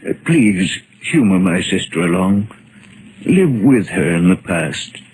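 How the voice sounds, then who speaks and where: Courtly, hesitant eccentric gentleman. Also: sits low, big pitch swings, takes it slowly, full character voice. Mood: apologetic, earnest. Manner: hesitant, formal, polite. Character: courtly, apologetic, eccentric gentleman devoted to his sister. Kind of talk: 1940s radio acting. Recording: noisy 1940s radio recording.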